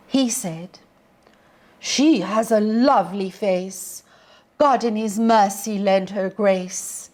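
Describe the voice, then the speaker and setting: Slow, theatrical woman storyteller. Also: mid-range voice. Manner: theatrical, measured, drops to hushed breathy tones. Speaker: teacher reading poetry to pupils. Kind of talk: dramatic poetry recitation and storytelling.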